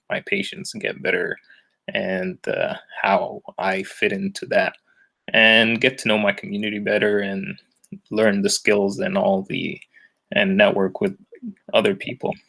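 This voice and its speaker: Man, twenties, lowish creaky voice, casual and hesitant group introduction. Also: flat delivery, unhurried, fairly bright tone. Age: 20s. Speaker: EMT and recent biology graduate. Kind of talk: informal self-introduction.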